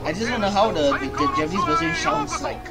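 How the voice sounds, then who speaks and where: A young man hosts a casual reaction video in a fairly high voice. He talks haltingly, repeating phrases as he gathers his thoughts. Loud music plays underneath him throughout. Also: very animated. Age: young adult. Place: small home room indoors. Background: loud music from watched game trailer.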